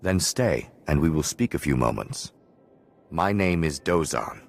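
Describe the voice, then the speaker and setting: An actor playing a solemn, penitent hermit watchman in a video game, speaking in a measured, formal, philosophical way. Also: very deep voice, expressive, gravelly, faint music behind, exaggerated voice. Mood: calm, grave. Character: solemn male hermit Jedi watchman, calm, penitent, cryptic.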